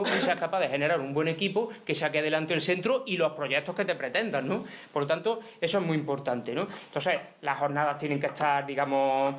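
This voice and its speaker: Fast emphatic man giving a talk. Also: on the high side, a bit bright. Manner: emphatic, many tag questions. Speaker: union speaker. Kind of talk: conference talk. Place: conference hall.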